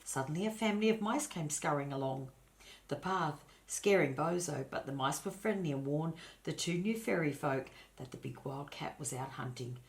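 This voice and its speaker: An older woman with a low voice reads a children's story aloud. Her delivery is expressive and grandmotherly, as if showing the book to young listeners. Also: normal speed. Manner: grandmotherly, addresses listeners and points out pictures. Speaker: storybook reader.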